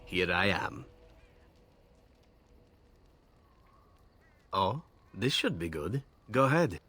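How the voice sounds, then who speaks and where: A deep, suave elven assassin. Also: male voice, big pitch swings, unhurried, bright, forceful tone, exaggerated voice. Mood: flirtatious. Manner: smooth-tongued, courtly, theatrical phrasing. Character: suave flirtatious male elven assassin, wistful, worldly charmer. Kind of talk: video game character dialogue.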